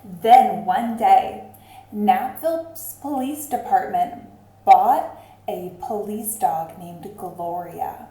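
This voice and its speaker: A woman reading aloud expressively to children like a teacher, deliberate and addressing them directly. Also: mid-range voice, dark tone. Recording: roomy, echoing sound.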